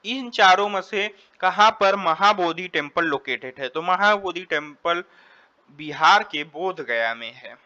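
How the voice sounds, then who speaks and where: Indian male quiz tutor, slightly high voice, explanatory and repetitive, lo-fi sound. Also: animated, unhurried, bright, forceful tone. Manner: repeats and rephrases, switches between Hindi and English. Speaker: exam tutor. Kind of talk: quiz lesson, reading questions and explaining answers.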